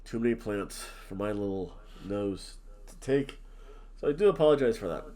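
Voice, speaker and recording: A man's voice: on the low side, expressive, moderate pace, mellow. A man from New Jersey, nasal and sniffly from allergies, rambling and joking as a podcast co-host while drinking. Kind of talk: casual chat.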